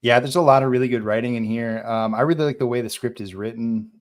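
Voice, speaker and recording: Male livestream host, mid pitch, casual and conversational, steering a group chat. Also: fast talker. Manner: conversational, prompting others for opinions. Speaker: host of a live online table read and Q&A.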